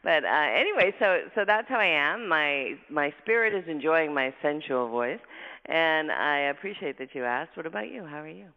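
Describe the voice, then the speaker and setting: A woman with a husky, gravelly voice hosts a radio interview, talking fast and effusively and sounding travel-tired. She comes through a tinny phone line with a long reverb tail. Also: sits low, lively intonation. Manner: fast, effusive talker, laughs often. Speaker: radio show host. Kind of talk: casual radio interview chat.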